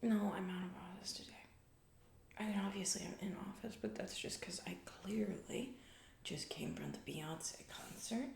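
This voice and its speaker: A woman playing a self-absorbed, distracted female CEO in a comedic ASMR roleplay, rambling flippantly in a breathy whisper with casual asides. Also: medium pitch, moderate pace, a little mellow, echoey room, lightly acted. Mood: flippant, self-justifying.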